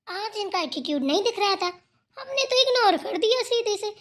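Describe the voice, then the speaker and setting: A woman voicing an excitable, gossipy young daughter-in-law in a village cartoon family drama, chatty and emphatic. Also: very high-pitched, moderate pace. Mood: annoyed, gossipy.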